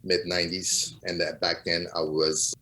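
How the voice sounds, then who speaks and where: Low-voiced middle-aged man from Portugal. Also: flat delivery, normal speed, sharp tone, low-quality recording. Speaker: interview guest, DJ. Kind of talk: reflective interview answers.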